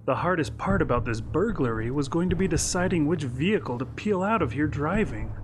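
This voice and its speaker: A man's voice: fairly high pitch, lively intonation, fairly quick. A man narrating a scripted short story in a suspenseful storytelling manner. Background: music.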